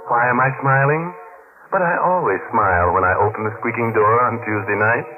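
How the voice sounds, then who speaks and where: A man's voice: mid-range voice, brisk, lightly acted. A man playing a ghoulishly jovial horror show host and narrator, theatrical and mock-cheerful, in 1940s radio style. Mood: gleefully macabre. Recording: tinny 1940s radio recording, music behind.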